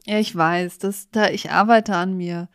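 A woman's voice: fairly low pitch, steady tone, unhurried, clean tone, dry recording, clean studio sound. A woman co-hosting a podcast in casual conversation, with a playful, teasing way of answering back. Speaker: podcast co-host.

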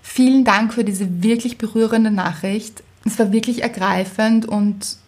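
A woman's voice: on the high side, fairly even intonation, a little slow, darkish tone, slightly rough. A woman co-hosting a podcast, in casual chat and reading out listener mail. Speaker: podcast co-host.

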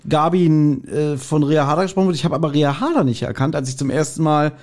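A man's voice: fairly high pitch, fast talker, a little mellow. A man hosting a podcast, casually retelling and reviewing a story. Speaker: podcast host. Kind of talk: casual chat, retelling and reviewing an audio drama.

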